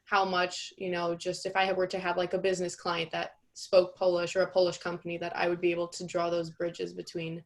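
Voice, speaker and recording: A young woman student asking questions in a relaxed, casual way, full of "like" and "you know". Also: fairly low pitch, a little fast, hardly any pauses. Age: young adult. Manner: relaxed, filler-heavy, uses like and you know. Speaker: student interviewer. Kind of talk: casual panel conversation.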